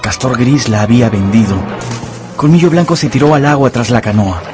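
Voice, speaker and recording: Male narrator for dramatized audiobook, average register, expressive, measured delivery. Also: medium pitch, music in the background.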